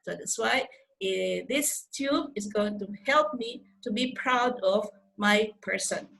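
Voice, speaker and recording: An older woman from the Philippines with a Filipino accent, giving an earnest, deliberate motivational talk as a mentor on a video call. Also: medium pitch, creaky, a bit bright. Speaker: life coach and programme mentor. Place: indoors on a group video call. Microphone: video call microphone.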